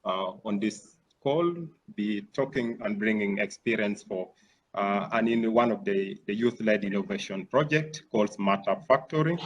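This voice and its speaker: Ugandan man, youth program manager, lowish voice, energetic. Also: normal speed, poor audio. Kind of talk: self-introduction on a panel. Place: video call.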